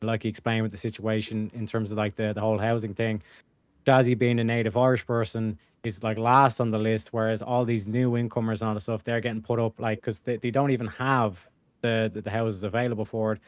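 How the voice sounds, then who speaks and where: A man talking rapidly as a guest in a casual panel discussion, rambling with many fillers. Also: fairly low pitch, flat delivery, no room sound.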